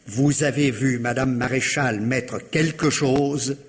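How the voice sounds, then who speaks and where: A man's voice: mid-range voice, fairly even intonation, slow pace, close to an everyday voice. A man playing an impatient, sardonic police commissioner in a 1950s French radio mystery, insistent and ironic. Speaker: radio actor.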